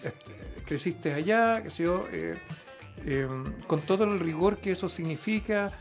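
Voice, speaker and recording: A man hosting a radio interview, talking conversationally with many fillers and quick affirmations. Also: high-pitched, gravelly, soft background music. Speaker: radio show host.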